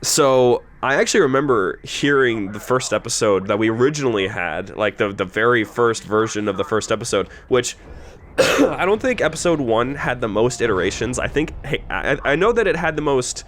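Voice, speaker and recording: A young adult man, producer of an audio drama, chatting casually, halting with many restarts and getting eager as he talks. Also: mid-range voice, lively intonation, a bit bright, slight background noise. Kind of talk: casual commentary chat.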